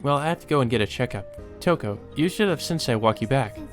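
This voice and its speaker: A man voicing a frail, playful teenage girl in a visual novel, soft and lightly teasing. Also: medium pitch, animated, a little fast, lightly acted. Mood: resigned. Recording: music behind the voice.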